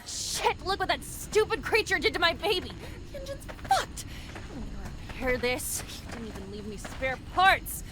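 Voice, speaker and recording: A woman's voice: high-pitched, sing-song, moderate pace, background noise. An actress playing an angry, foul-mouthed young woman rover driver in a sci-fi video game. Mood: distraught, furious. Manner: bitter, sarcastic, swearing.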